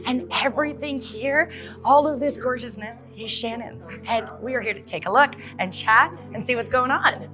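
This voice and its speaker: A woman's voice: a little high, normal speed. A woman hosting a show and interviewing in an effusive, informal, upbeat way. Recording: music under the voice.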